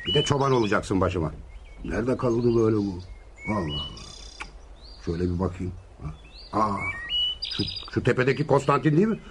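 Radio play role, male actor: hot-tempered, boozy father, theatrical and very animated, over clear background noise. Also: lowish voice, brisk, long pauses, lightly acted. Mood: grumbling, boastful. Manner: theatrical, full of exclamations and grumbling. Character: boisterous hard-drinking Greek father, bullying and hot-tempered. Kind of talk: radio drama acting.

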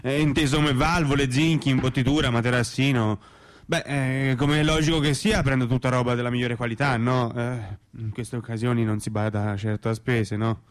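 An actor as a practical, rambling man in a naturalistic radio drama. His mid-pitched voice gropes haltingly for words and stays deadpan and matter-of-fact. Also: natural voice. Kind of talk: naturalistic radio theatre acting.

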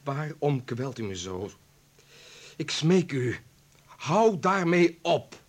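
A man's voice: fairly high pitch, very animated, slow pace, exaggerated voice. A man acting in a Dutch radio play as a weary, principled doctor who defends himself. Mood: anguished pleading.

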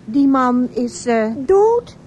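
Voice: sits high, very animated, extremely slow, a little mellow, a little hiss or hum, full character voice. An actor playing an anxious, flustered, stammering mother in a radio drama. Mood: shocked, flustered. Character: anxious mother walking with child and dog.

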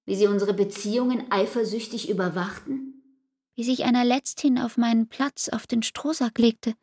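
An actress playing a weary, pleading young woman in love in a German radio drama, intimate and breathy, slipping into whispers. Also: on the high side, fairly even intonation, normal speed, darkish tone, close to an everyday voice. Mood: urgent, reproachful.

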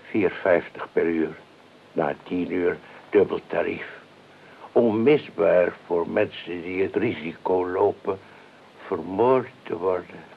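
An actor playing an eccentric, politely blunt freelance bodyguard in a radio play, with deadpan, matter-of-fact delivery. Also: male voice, sits low, slow pace, creaky, exaggerated voice. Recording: tinny, noisy old radio recording.

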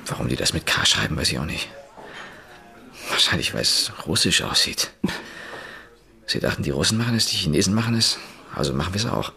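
A rattled journalist in a radio crime play. His voice sits very low and has a gravelly edge. He talks nervously and then tells a long story with energy. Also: very animated, fairly quick, long pauses, bright, forceful tone, full character voice. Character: shaken journalist Pit Kern, beaten up. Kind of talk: radio drama acting.

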